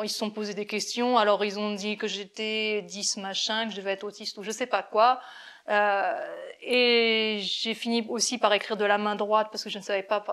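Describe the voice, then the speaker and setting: A woman born in France, an interview guest, talking about her life slowly and reflectively, with many hesitations and restarts. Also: fairly high pitch, a bit bright. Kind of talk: reflective personal testimony.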